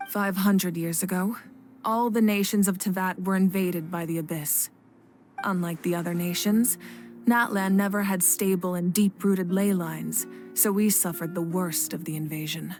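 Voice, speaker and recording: A woman's voice: on the low side, fairly even intonation, normal speed, darkish tone, soft background music, close to an everyday voice. A woman playing a composed, commanding female leader in a dramatic video game. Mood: grave.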